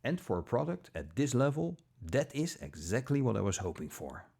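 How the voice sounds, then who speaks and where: A man reading a scripted product review in a measured, deliberate manner, with short pauses between phrases. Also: mid-range voice, very animated, vocal fry, darkish tone, slightly rough. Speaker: audio gear reviewer.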